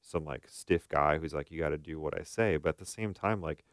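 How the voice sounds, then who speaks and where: A man's voice: a bass voice, fairly quick, dry recording, studio quality. An American man co-hosting a podcast as a therapist, explaining his views casually and acting out bits of imagined dialogue.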